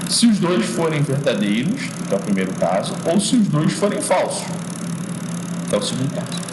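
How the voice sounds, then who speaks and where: A man's voice: medium pitch, sing-song. A male teacher giving an informal logic lesson, often checking in with "né?" and restarting his sentences as he thinks aloud. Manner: explaining slowly, frequent checks like "né?" and "tá?"; restarts and repeats words while thinking aloud. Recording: echoey classroom from far off with street noise.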